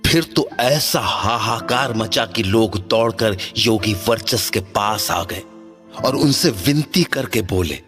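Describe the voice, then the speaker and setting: Solemn documentary narrator acted by a man, formal and dramatic, lowish and deliberate, soft music behind. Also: expressive. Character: solemn male narrator of an ancient legend. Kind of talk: scripted mythological narration.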